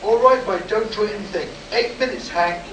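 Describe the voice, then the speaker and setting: A man's voice: high voice, moderate pace, close to an everyday voice. A man playing a frightened test pilot close to breaking in a live sci-fi radio play. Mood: frantic. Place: live convention performance, recorded from the room. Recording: echoey, distant, noisy room recording.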